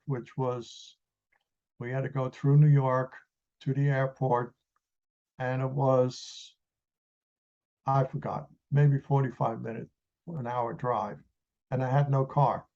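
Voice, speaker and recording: Dark-voiced man in his eighties from the Netherlands. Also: medium pitch, muffled recording. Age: 80s. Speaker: interview guest, retired engineer. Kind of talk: reminiscing in an interview.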